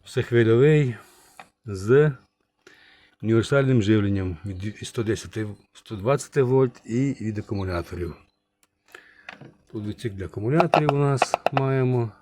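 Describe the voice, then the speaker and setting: A man giving a casual hands-on review as a channel host, halting and thinking aloud, muttering remarks to himself. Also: lowish voice, a little mellow, slight background noise. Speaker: channel host, radio repairer.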